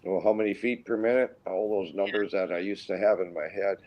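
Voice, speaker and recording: A man in his sixties from Minnesota, a guest pilot telling stories in a rambling, halting way with many restarts. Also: low-pitched, steady tone, lo-fi sound. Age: 60s. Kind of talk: casual storytelling interview.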